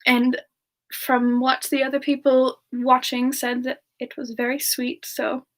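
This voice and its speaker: A woman plays a young frost demoness with a high, soft voice. She talks slowly and hesitantly, with a sweet, affectionate temper, as if chatting gently with viewers on an in-character ASMR stream. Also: little pitch movement. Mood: gentle, chatty. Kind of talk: semi-ASMR in-character live Q&A.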